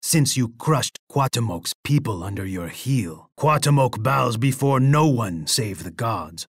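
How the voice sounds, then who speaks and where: A menacing Aztec emperor from a video game, in a medium-pitched male voice. He is pompous and boastful, delivering each line as an imperious, dramatic pronouncement. The sound is close and dry. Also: lively intonation, unhurried, husky, dry recording. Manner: pompous, speaks of himself in the third person.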